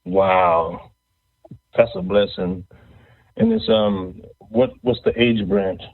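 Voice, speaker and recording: Podcast host guy, lowish voice, stumbles and repeats himself, thin phone-like sound. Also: huge pitch swings, vocal fry. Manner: halting, hesitant delivery with repeated words. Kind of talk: casual interview and event announcements. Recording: thin phone-like, band-limited audio.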